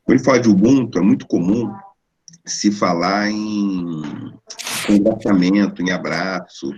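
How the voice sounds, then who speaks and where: A Brazilian man in his forties gives a relaxed, conversational lecture over a video call. His voice is very low and husky. The sound is a little dull and lo-fi. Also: a little slow. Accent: Brazilian Portuguese. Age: 40s. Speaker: guest philosopher. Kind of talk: online lecture.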